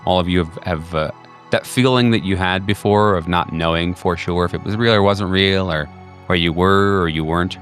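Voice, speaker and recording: A man narrating as game master of a tabletop horror game, talking in a halting way with many restarts. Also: low voice, fairly bright tone, soft background music.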